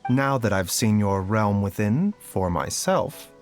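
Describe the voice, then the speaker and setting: An actor playing a gentle, scholarly doctor in a video game, speaking in a polite, measured and softly formal manner. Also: male voice, on the low side, expressive. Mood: calm, welcoming. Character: gentle, courteous adult male doctor, calm and scholarly. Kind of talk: scripted game voice lines. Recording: music behind the voice.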